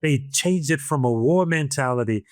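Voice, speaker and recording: A man's voice: medium pitch, moderate pace, dry recording, studio quality. An older man hosting an interview show, talking in a rambling storytelling way with many restarts. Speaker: podcast host. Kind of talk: casual interview chat.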